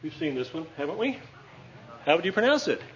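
A man's voice: a little high, big pitch swings, a little fast, fairly bright tone, muffled recording, a little hiss or hum. Chatty man teaching a class. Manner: joking, chatty, checks in with "okay?". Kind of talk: classroom lesson at a whiteboard.

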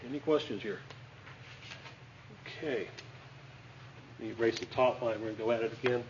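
A man's voice: medium pitch, normal speed, muffled recording, background noise. Chatty man teaching a class. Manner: joking, chatty, checks in with "okay?". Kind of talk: classroom lesson at a whiteboard.

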